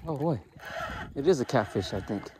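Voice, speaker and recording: A man with a Californian accent filming himself from a small boat on a river, commenting in short excited bursts as he lands fish. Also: mid-range voice, normal speed, slight background noise. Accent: Californian English. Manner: excited, short exclamations to camera. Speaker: fishing vlogger. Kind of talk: casual commentary while fishing. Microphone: head-mounted action camera.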